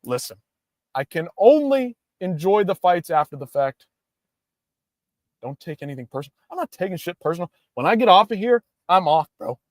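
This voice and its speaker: A man's voice: high voice, no room sound, clean studio sound. A young man hosting a casual livestream, ranting in a hyped-up, profane way. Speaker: livestream host.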